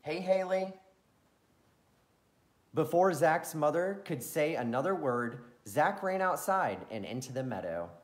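A man reading a children's picture book aloud as a bedtime story, expressive and playful, with friendly asides. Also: on the high side, moderate pace, hardly any pauses. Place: farm, reading at home to camera.

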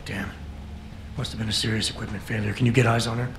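An actor playing a steely security chief in a video game, speaking in a terse, serious way. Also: male voice, fairly low pitch, flat delivery, quick pace, background noise, natural voice. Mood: urgent, concerned. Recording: roomy echo, faint game music.

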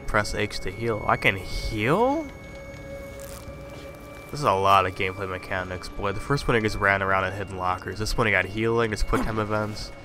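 A man's voice: on the low side, expressive, normal speed, music in the background. A man playing a horror game, swearing and muttering casual asides, still slightly congested from a cold. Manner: profane, jumpy, muttering asides. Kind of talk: casual commentary.

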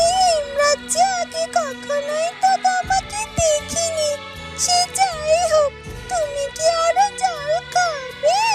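A performer voicing a small, eager, caring female talking bird in a children's fairytale cartoon, speaking in a childlike, earnest way. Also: very high-pitched. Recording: loud music behind the voice.

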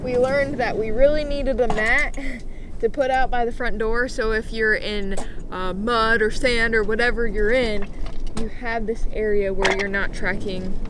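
A woman's voice: on the high side, steady tone, measured pace, background noise. A young woman vlogging casually, chatty and playful, recorded on a camera mic. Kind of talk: casual travel vlog talk. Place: inside a truck, then outdoors on a beach.

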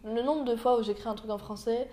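A woman's voice: on the high side, steady tone, fast, mellow. A young woman, a native French speaker, hosting a casual chat, talking in loose trailing phrases with frequent fillers and laughter. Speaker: interviewer, vlog host. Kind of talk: casual conversation mixing French and English.

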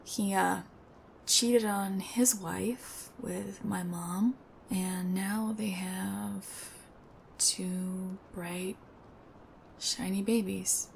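Hesitant, slow-spoken young thief girl, acted by a woman. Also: mid-range voice, steady tone, slight background noise. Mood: wistful, hesitant. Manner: hesitant, soft-spoken, often breathy and near whispering. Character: strange young woman thief, sweet, shy, poor, guarded. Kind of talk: ASMR roleplay voice acting.

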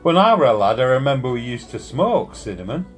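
A man playing a gruff, droll Northern English working-class husband in a radio play. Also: medium pitch, sing-song, brisk, faint music behind, natural voice.

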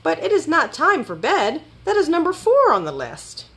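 A woman voicing a fussy, excitable male rabbit in a children's storybook, emphatic and easily flustered. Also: high-pitched, normal speed, voice pushed up, heavily acted. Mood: fussy, eager.

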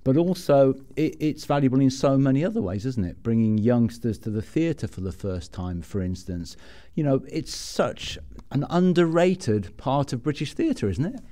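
A man's voice: medium pitch, lively intonation, normal speed, dark tone. A man hosting a podcast, interviewing in a reflective, conversational way and often ending with a tag question. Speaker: podcast host.

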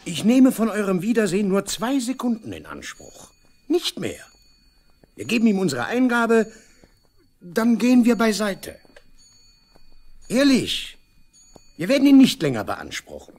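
Self-important organiser for radio drama: higher-pitched, husky, commanding and officious. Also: male voice, wildly swooping pitch, unhurried, long pauses, darkish tone, heavily acted. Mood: exasperated, placating. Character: bossy, self-important local man taking charge. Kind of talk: 1970s East German radio play acting.

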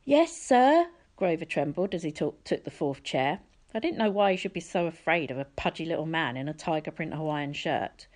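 A woman's voice: low voice, brisk. A woman narrating a first-person story from a book as an audiobook reader.

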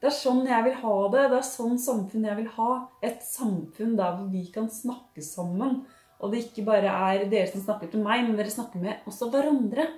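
A young woman with a Norwegian accent chatting in a casual haul vlog, gushing and expressive, with lots of sighs and fillers. She sits at a living-room table in front of a fixed camera. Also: mid-range voice, echoey room. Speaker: beauty and lifestyle vlogger. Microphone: fixed camera at table distance.

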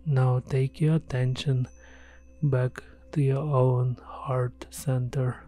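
A man leading a scripted guided meditation in a soothing, gentle, measured way. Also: mid-range voice, flat delivery. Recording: soft music behind the voice. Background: background music.

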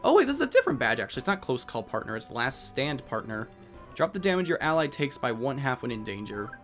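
A man's voice: medium pitch, big pitch swings, fast. A man hosting a let's play with casual video game commentary, tossing in jokey, self-deprecating asides. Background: video game music.

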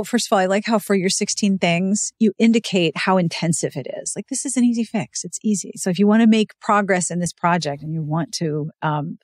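A woman's voice: medium pitch, a little mellow, dry recording. Casual woman podcast host, close dry sound. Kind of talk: casual conversational interview.

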